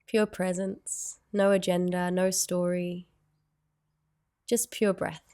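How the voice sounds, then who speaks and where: A woman leading a guided meditation in a soothing, unhurried way, with gentle phrasing and long pauses. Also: on the low side, level tone.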